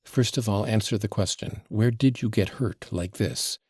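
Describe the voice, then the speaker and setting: A man reading aloud and voicing a capricious, imperious teenage girl who is sharp, bossy and mocking. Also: on the low side, moderate pace, slightly rough, dry recording, clean studio sound. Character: capricious, imperious invalid girl in her teens.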